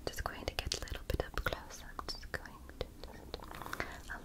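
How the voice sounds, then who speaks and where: A woman whispering in ASMR roleplay as a curious, gentle alien doctor examining a human, speaking slowly and clinically with a breathy whisper up close to the mic. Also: close to an everyday voice. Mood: soothing curious. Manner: slow, soft, clinical and fascinated. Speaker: ASMR creator. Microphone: close sensitive microphone.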